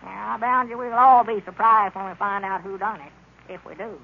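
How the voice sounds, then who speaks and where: An actor playing a stubborn old country constable with a rural Southern accent in old-time radio comedy. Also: male voice, high voice, steady tone, brisk, vocal fry, slightly rough, exaggerated voice. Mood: grumbling, evasive. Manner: folksy rustic speech. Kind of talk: 1930s-40s radio comedy acting. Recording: tinny, noisy old radio recording.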